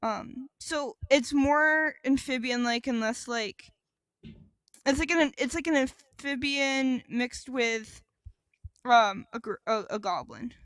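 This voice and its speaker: High-voiced woman in casual podcast chat. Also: fairly bright tone, no echo. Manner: halting, improvised descriptions with frequent "like". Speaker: podcast co-host.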